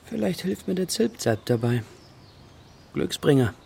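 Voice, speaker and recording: A man's voice: a little high, big pitch swings, a little slow, creaky, heavily acted. An actor playing a reflective, patient, bird-loving father in a children's radio play. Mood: gentle, musing. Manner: musing, patient. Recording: outdoor birdsong noise behind voice. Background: birdsong.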